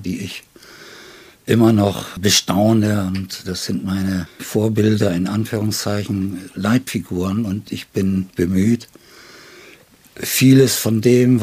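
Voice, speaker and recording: A man in his seventies with a low, distinctly husky voice talks about literature as a guest. He searches for his phrases with care and lands on ornate, considered words. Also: a little mellow. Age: 70s. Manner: deliberate, halting phrasing with ornate word choices. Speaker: interview guest, poet. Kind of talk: reflective literary conversation.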